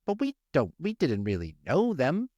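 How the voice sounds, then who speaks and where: A curious little mouse child from a storybook, played by a man, pitched high and lively. The manner is innocent, questioning and a bit plaintive. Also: moderate pace, no echo, muffled recording. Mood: curious, concerned.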